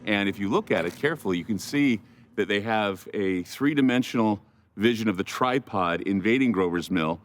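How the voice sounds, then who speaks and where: A man's voice: fairly low pitch, moderate pace, dry recording. A man giving informal explanatory commentary for a documentary.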